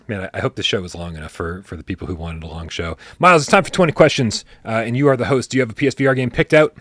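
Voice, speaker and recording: A low-pitched man hosting a casual gaming livestream, close to a dry mic. He talks in animated bursts, swears casually and restarts his sentences often. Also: fast, no room sound. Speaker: podcast host.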